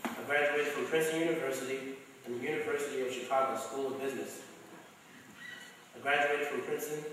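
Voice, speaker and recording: A very reverberant chapel carries the distant voice of a man recounting his life and family history, acted by a young student. He reads a first-person script at medium pitch in a dramatic reading. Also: a bit bright, lightly acted. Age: young adult. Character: men of one family telling their life stories. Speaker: student reader. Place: large school chapel. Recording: echoey hall, distant mic, faint noise.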